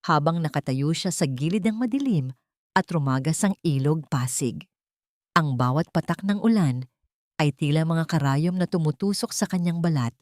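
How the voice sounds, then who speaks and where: A woman with a fairly low voice narrates dramatic fiction. Her delivery is solemn and melodramatic, in a scripted storytelling style. The recording is dry and close, with very little room sound. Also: animated, moderate pace, a little mellow, no room sound. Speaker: audiobook narrator.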